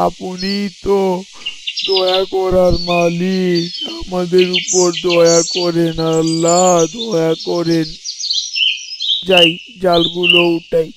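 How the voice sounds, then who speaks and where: Someone acting a poor pious fisherman dad, slow n humble, loud birds and noise all over it. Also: mid-range voice, monotone. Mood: prayerful. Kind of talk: Bengali moral story drama acting. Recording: noisy outdoor recording with birdsong.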